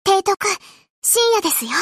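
A woman voicing a gentle, eager young ship girl in an anime game, sweet, polite and earnest, a little hesitant. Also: very high voice, very animated, measured pace, dry recording, clean studio sound, full character voice. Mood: gentle, caring. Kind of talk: Japanese anime game character voice lines.

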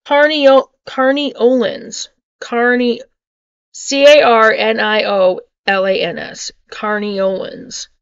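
A woman's voice: on the high side, little pitch movement, slow, smooth, dry recording, highs cut off. A middle-aged woman reading an article aloud with casual asides, sometimes stumbling over and repeating hard words, recorded on a webcam in a home kitchen. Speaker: homesteading vlogger. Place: small home kitchen with wood-panel ceiling, indoors.

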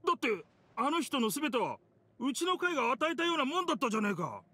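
An actor playing a rough-spoken, loyal young gentleman in a Japanese video game, blunt and emotive. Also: very high-pitched, very animated, quick pace, no room sound, exaggerated voice. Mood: indignant. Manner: emotive, blunt casual speech.

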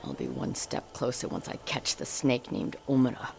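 An actress playing a stern, grieving older noblewoman of a samurai clan in a video game, speaking gravely and with command. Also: a bass voice, big pitch swings, moderate pace, a little mellow, slight background noise, exaggerated voice. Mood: grave, resolute. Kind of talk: dramatic video game acting.

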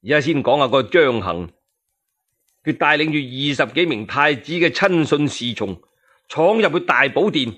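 Lively Cantonese male storyteller. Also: on the high side, moderate pace. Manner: dramatic, expressive. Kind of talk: Cantonese traditional solo storytelling, historical radio drama narration.

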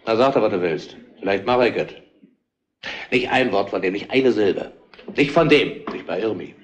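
An actor playing a blunt, crude-humoured tavern regular in a retro radio crime play, rambling and insinuating in colloquial speech. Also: male voice, lowish voice, moderate pace, lightly acted. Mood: gossipy. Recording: dull, band-limited old radio recording.

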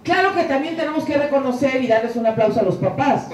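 A middle-aged woman giving an inspirational church talk on a handheld mic, fervent, moving between hushed prayerful passages and soaring emphatic lines. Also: sits high, animated, quick pace. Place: room with curtained wall, indoors. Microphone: handheld mic, filmed from a distance. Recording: echoey room, camera far off.

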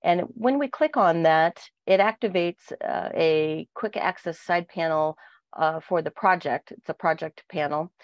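A woman with a cold, sounding nasal, walking through software on a screen share with plenty of fillers. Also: lowish voice, measured pace, no room sound, lo-fi sound. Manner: steady explaining with frequent fillers. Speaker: product trainer. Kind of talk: software walkthrough.

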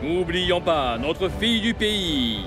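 A man performs a pompous, aging cartoon mayor acting as master of ceremonies. His voice sits high for a man and swings widely in pitch. He draws out his grand announcements slowly. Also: very animated, music in the background, full character voice. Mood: grandiose, ceremonial. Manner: grandiose, drawn-out announcer delivery. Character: pompous older male town mayor hosting a contest. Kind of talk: theatrical cartoon announcing in French.

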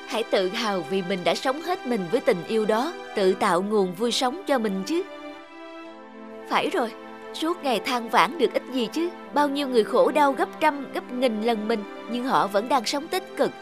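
High voiced young cartoon lady, heartbroken n earnest, emotional, music under it. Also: slightly rough. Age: young adult. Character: heartbroken, self-pitying young woman, thoughtful and earnest. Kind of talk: cartoon dialogue and inner monologue.

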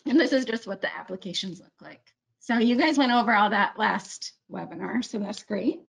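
A woman's voice: medium pitch, moderate pace, creaky. A woman walking viewers through a website in a webinar, chatty and correcting herself often. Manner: chatty, self-correcting, many ums. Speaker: webinar presenter. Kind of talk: screen-share webinar walkthrough.

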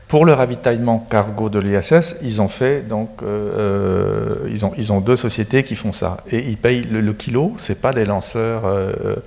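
A man's voice: fairly low pitch, normal speed, gravelly, few pauses, a little mellow, in a large hall. A middle-aged man speaking as an expert guest in a studio interview, explaining technical matters in a plain, matter-of-fact way into a handheld mic. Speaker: space agency expert guest. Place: studio set with screens.

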